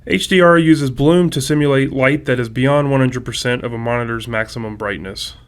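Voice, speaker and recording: A man with a medium-pitched voice reads a scripted technical explanation. He narrates as a game developer walking through the details. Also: brisk, clean tone.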